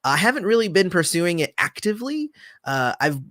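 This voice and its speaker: Man, livestream host, casual rambling chat, trails off quietly, dry close sound. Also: sits high, a little fast, no room sound. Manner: rambling, relaxed, frequent fillers, trails off softly. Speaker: channel host. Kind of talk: casual livestream chat reading viewer comments.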